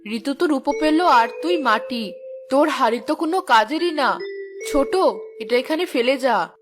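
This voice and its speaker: A woman's voice: high-pitched, expressive, moderate pace, music in the background. A woman voicing a boastful, greedy eldest village sister in a children's cartoon, exclaiming and bossy.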